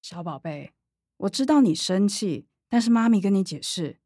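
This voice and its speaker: A woman's voice: medium pitch, expressive, moderate pace, dark tone, dry recording, clean studio sound, natural voice. An actress playing a middle-aged mother in a radio drama, chatty and complaining, with a Taiwanese accent. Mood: placating. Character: middle-aged mother, coaxing with daughters, exasperated with grandma.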